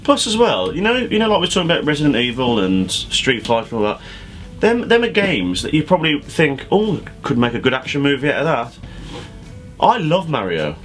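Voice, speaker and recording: A man's voice: a little high, wildly swooping pitch, fairly quick, a bit bright, soft background music. A man co-hosting a show with casual banter and an incredulous manner. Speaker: show co-host.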